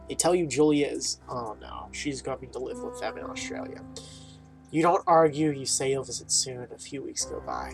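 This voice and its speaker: A male gaming host with a mid-range voice talks informally over faint music. He reads the game's text aloud and drops in casual, muttered comments as he plays. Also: medium pitch. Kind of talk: casual let's play, reading game text and reacting. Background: game music.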